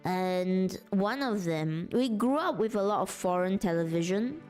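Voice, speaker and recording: A woman's voice: medium pitch, animated, unhurried, a little mellow, soft background music. Rambling woman streamer chatting. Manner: rambling, forgetful, laughs often. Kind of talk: relaxed livestream chat while crafting.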